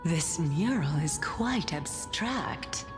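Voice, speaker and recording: Slow, serene, teasing goddess. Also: female voice, low voice, expressive, music in the background, heavily acted. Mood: curious, amused. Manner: measured, musing, wryly amused. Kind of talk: video game voice acting.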